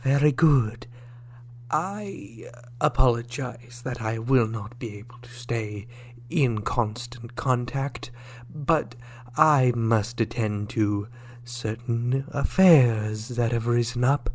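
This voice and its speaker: An actor playing an evasive alien ambassador in a sci-fi audio drama, halting and elaborately courteous. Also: male voice, medium pitch. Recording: clear background noise with hum.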